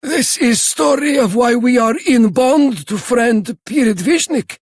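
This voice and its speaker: Game elder: courteous, nostalgic grandfather, husky, animated intonation, broken English. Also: very high voice, measured pace, dry recording, full character voice. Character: kindly old village grandfather, nostalgic and courteous.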